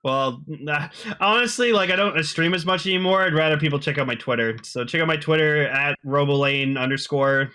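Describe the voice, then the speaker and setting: A man's voice: on the high side, fairly quick, few pauses, sharp tone, smooth. A man chatting casually as a co-host on a podcast. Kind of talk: casual chat.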